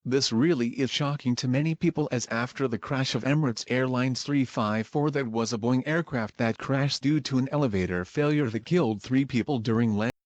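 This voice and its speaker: A man playing a formal morning TV news anchor, reading a scripted bulletin in a measured way. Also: mid-range voice, no echo, highs cut off, natural voice. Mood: composed, serious.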